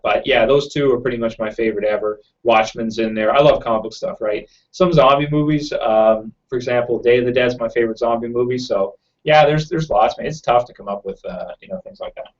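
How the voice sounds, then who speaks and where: A man hosting a casual live chat from home, answering viewer questions quickly with lots of fillers, his throat a bit raw. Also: medium pitch, muffled recording. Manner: fast-talking, rambling with many fillers. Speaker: YouTube channel host.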